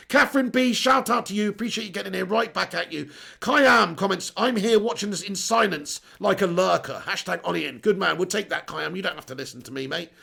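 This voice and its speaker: Hoarse, gravelly-voiced football fan. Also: male voice, high-pitched, fairly bright tone. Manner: swearing, blunt and exasperated. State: losing his voice, hoarse. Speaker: fan channel host reading live chat. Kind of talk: live stream chat reading and rant.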